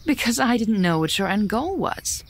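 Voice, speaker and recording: A woman voicing a shrewd, watchful fox spirit in an audio drama, cool and deliberate, with a probing manner. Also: on the high side, very animated. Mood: suspicious.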